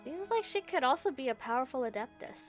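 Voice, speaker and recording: A woman's voice: high-pitched, animated, fast talker, over music. A woman livestreaming a game, reacting playfully and reading dialogue aloud. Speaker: game streamer.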